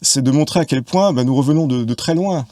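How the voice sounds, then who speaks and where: A man's voice: mid-range voice, a little fast, warm tone, no echo. A man in his fifties from Paris, a guest on a podcast, talking about film in long, reflective explanations with frequent hesitations and restarts, recorded on a close mic. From Paris, France. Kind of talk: casual conversation.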